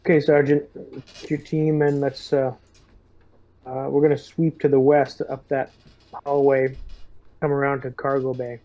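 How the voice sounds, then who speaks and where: A man playing a tabletop game, giving military-style orders in a halting but commanding way. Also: medium pitch, little pitch movement, mellow. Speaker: tabletop game player speaking in character. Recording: dull lo-fi microphone.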